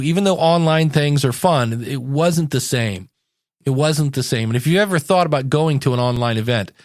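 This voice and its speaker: A man's voice: medium pitch, no room sound, studio quality. A man hosting a podcast in a casual solo monologue, talking directly to his listeners in a conversational way. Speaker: podcast host and podcasting coach.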